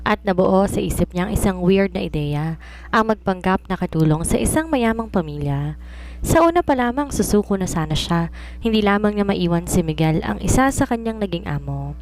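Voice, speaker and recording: A woman's voice: medium pitch, animated, moderate pace, a little mellow, slight background noise. A woman reading a scripted romance story as its narrator.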